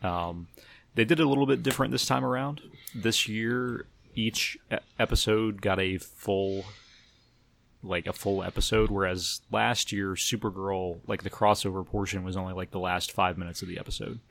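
Male podcast host, lowish pitch, creaky fry, casual conversational recap style. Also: steady tone, moderate pace, fairly bright tone. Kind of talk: casual chat about TV episodes.

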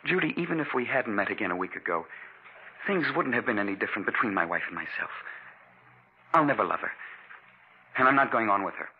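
An actor playing a restless, scheming husband in an old-time radio mystery, with earnest, emphatic delivery. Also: male voice, on the low side, expressive, quick pace, pauses often, background noise. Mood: urgent, resolved. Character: scheming discontented husband, restless, smooth-talking man. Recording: muffled vintage radio recording.

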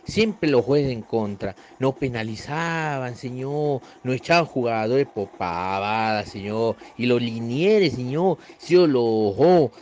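A man's voice: mid-range voice, lively intonation, slow pace, hardly any pauses, a little mellow, highs cut off, slight background noise, voice pushed down. A man voicing an aging, bitter male football fan with a northern Argentine accent, reading a short story aloud and calling the listener "señor". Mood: bitter, nostalgic. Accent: northern Argentine (put on).

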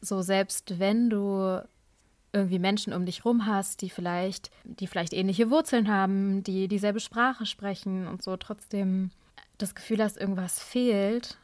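A woman's voice: medium pitch, fairly even intonation, measured pace, darkish tone, no echo. Thoughtful woman podcast host, dry. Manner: thoughtful, musing, filler-heavy. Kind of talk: casual podcast discussion.

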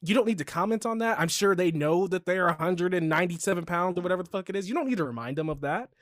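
A man from Chicago chatting as a podcast host, loose and profane, his pitch shooting up when he gets worked up. The sound is close and dry, with no room around it. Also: quick pace, dry recording. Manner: animated, swearing, jumps to high pitch when riled. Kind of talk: casual chat.

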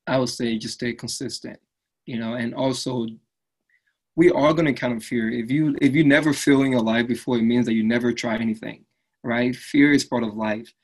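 A man's voice: mid-range voice, steady tone, moderate pace, husky, low-quality recording. A man from Liberia, speaking earnestly and upbeat as an interview guest, often tagging lines with "right?". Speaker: fitness business owner, guest. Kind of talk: casual interview conversation.

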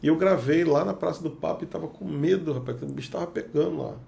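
A man with an Espírito Santo Brazilian Portuguese accent tells a story on a podcast as a guest chef. His voice sits a little high and is heavily gravelly, full of vocal fry. He slips into the other people's lines as he tells it. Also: fast talker. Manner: lively storyteller who acts out the dialogue. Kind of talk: casual anecdote telling.

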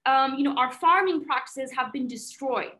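Passionate high-voiced woman. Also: moderate pace, a bit bright. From England. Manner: passionate, emphatic, with many fillers. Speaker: podcast guest. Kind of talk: earnest podcast conversation.

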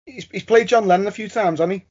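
A podcast host, a man, chats casually. His voice sits a little high yet is soft-edged and mellow, with slightly lo-fi sound. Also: fast talker. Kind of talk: casual chat. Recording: slightly dull, lo-fi audio.

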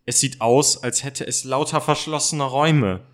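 A man's voice: medium pitch, normal speed, lightly acted. A man playing a reluctant, anxious teenage boy detective in an amateur audio drama, with a hesitant manner. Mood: wary.

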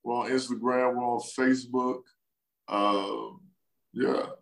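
A man's voice: mid-range voice, fairly even intonation. A man whose first language is Spanish, joking around as a podcast guest and teasing his hosts. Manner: teasing, playful, quick comic asides.

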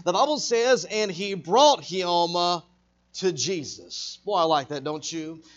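A man, a traveling evangelist preaching to a church congregation, rising to loud, emphatic, drawn-out stresses. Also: sits high, lo-fi sound. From Texas. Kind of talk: sermon. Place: church sanctuary.

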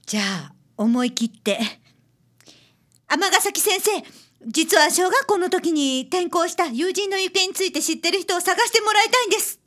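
Woman acting a timid, high-voiced young client. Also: animated, moderate pace, a bit bright. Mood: apologetic. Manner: hesitant, very polite. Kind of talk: radio drama acting.

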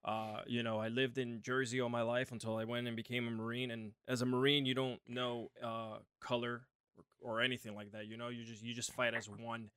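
A man from Puerto Rico talks earnestly as co-host, animated and sincere. His voice sits in a middle register, and the recording is dry and close. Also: medium pitch, fairly quick, smooth, no echo. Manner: earnest, animated, speaks from the heart. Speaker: podcast co-host. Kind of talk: casual chat.

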